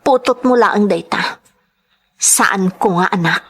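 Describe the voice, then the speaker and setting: A woman's voice: mid-range voice, takes it slowly. A woman playing a cold, blunt young mother who rejects her baby, in an emphatic, scornful audio drama style. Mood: cold, defiant. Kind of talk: Ilocano serial audio drama acting.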